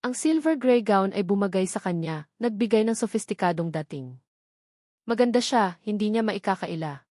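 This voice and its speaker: A woman narrating a romance story in a measured, dramatic storytelling manner. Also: mid-range voice, animated, a little mellow, no room sound, studio quality. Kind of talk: scripted storytelling.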